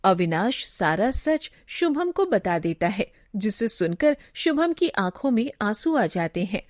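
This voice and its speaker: A woman's voice: medium pitch, sing-song, measured pace, no echo, clean studio sound. A woman narrating a scripted moral story as its storyteller.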